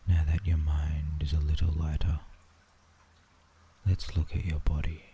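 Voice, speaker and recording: A man with an Australian accent narrating a sleep visualization in a soothing, hushed ASMR manner, often dropping into a whisper. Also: a bass voice, monotone, unhurried. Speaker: guided meditation narrator. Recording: faint background noise and ambience.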